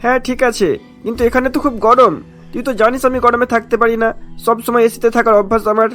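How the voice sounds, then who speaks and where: A man voicing an impatient, spoiled rich younger brother in a cartoon, hurried and dismissive, with music behind. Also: very high voice, level tone, a bit bright. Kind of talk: cartoon story acting.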